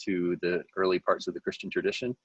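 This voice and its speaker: A man's voice: deep voice, level tone, fast, slightly rough, no room sound. A middle-aged man from North Carolina, a New Testament professor introducing himself on a video call with upbeat enthusiasm. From North Carolina, USA. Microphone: video call webcam. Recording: dull, dry webcam audio.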